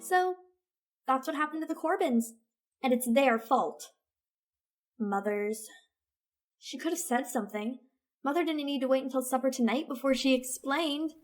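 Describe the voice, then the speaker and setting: A woman's voice: high-pitched, animated, moderate pace, long pauses, faint music behind. A woman playing a resentful sixteen-year-old girl who acts the hurt innocent, in emotional old-time radio melodrama style. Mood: sullen, puzzled. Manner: emotional, theatrical.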